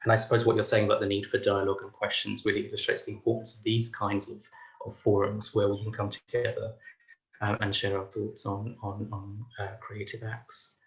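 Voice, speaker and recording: A man moderating an academic discussion, speaking haltingly with many fillers and repeated words. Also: lowish voice, level tone, reverberant, highs cut off.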